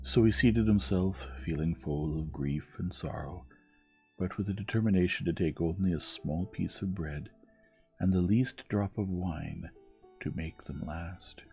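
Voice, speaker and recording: Deep-voiced man gently reading a bedtime story. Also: vocal fry, slightly rough. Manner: soft, measured, soothing reading. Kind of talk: gentle scripted storybook narration. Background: music.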